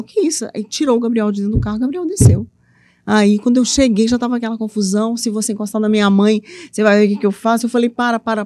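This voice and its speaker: A woman's voice: medium pitch, no room sound, studio quality. A middle-aged woman from Minas Gerais with a mineiro accent, telling her story as an interview guest and acting out what people said. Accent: Minas Gerais Portuguese. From Minas Gerais, Brazil. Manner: animated, acts out quotes, playful teasing. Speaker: guest, author and mentor for women.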